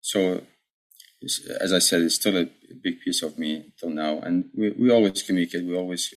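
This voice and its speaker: A man in his forties, born and raised in Iraq, answering interview questions as a deacon, measured and full of pauses and restarts. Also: low-pitched, fairly even intonation, poor audio. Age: 40s. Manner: measured, many fillers and restarts. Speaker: deacon, podcast guest.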